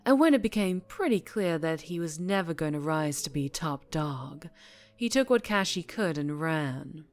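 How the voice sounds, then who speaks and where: A woman acting as a dangerously charming mafia boss in an ASMR roleplay, teasing and softly threatening, unhurried, slipping into whispers. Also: low-pitched, lively intonation. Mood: teasing, confiding.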